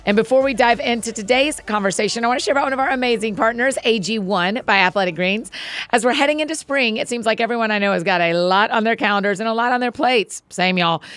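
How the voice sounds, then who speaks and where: A woman's voice: mid-range voice, no echo. A woman hosting a podcast, reading an ad and chatting in an upbeat, laughing way. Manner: upbeat, warm laughing banter. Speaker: podcast host. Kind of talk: casual chat and read ad.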